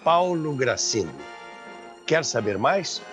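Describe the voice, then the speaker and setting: A man's voice: mid-range voice, very animated, slow pace. A Brazilian man hosting and narrating a scripted documentary, with emphatic, dramatic phrasing. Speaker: host and narrator of a radio history show. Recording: slightly dull audio with background music.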